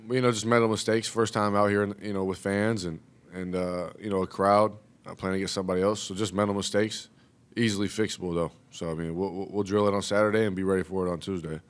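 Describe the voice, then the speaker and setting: A young man, a college basketball player, answering questions at a postgame press conference, relaxed and full of "you know". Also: sits low, fast, clean tone. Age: young adult. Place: press room, seated at table. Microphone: table mic.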